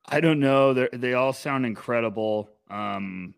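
A man co-hosting a casual sports podcast, talking in an energetic, animated way and rambling through restarts. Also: medium pitch, unhurried, creaky, clean tone, dry recording, studio quality. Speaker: podcast co-host.

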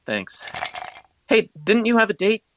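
A man playing a guarded, wry high school senior in an audio drama, with a casual, dry delivery. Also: big pitch swings, a little slow, fairly bright tone, dry recording, full character voice.

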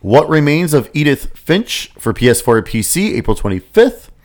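A male podcast co-host with a lively, swinging voice, running through a list of game release dates. He breaks into easy laughter and tosses in quick asides between entries, keeping it casual and chatty. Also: fairly high pitch. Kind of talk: casual chat.

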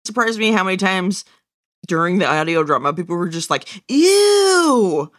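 A woman's voice: mid-range voice, very animated, normal speed, dry recording, studio quality. A woman co-hosting a casual review podcast in a blunt, informal way. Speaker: podcast co-host.

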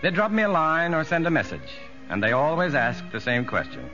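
An actor playing a kindly, sincere prison psychologist, measured and well-spoken, in a 1950s radio drama. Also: male voice, fairly high pitch, huge pitch swings, sharp tone, exaggerated voice. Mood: reflective. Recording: dull vintage radio recording with music.

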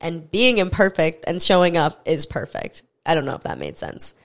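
A young woman with a slightly low voice and a stuffy nose hosts her own solo podcast. She talks candidly and earnestly, dropping casual swear words along the way. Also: wildly swooping pitch, normal speed. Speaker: podcast host.

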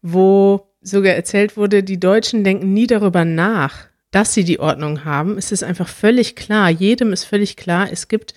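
A woman's voice: medium pitch, normal speed, few pauses, a little mellow, no echo, clean studio sound. A woman co-hosting a podcast in casual chat, talkative and thinking aloud as she explains. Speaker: podcast co-host.

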